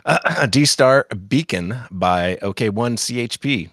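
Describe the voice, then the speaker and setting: A man's voice: mid-range voice, expressive, slow, fairly bright tone, no room sound, studio quality. A man with a head cold co-hosting a casual podcast, rambling with lots of fillers and restarts and laughing often. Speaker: podcast co-host. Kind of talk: casual chat about amateur radio news.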